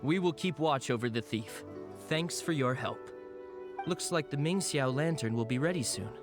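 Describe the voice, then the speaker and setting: Man playing a steady, dutiful game guard. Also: medium pitch, natural voice. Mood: reassuring. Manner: measured, formal, reassuring. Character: dutiful male city guard, steady and reassuring. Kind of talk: English video game voice acting. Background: game music.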